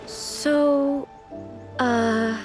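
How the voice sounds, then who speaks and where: A woman voicing a dignified, reserved and naive immortal ruler in a video game, speaking in a formal, measured, earnest way. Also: high voice, steady tone. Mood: puzzled, awkward. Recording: game music under dialogue.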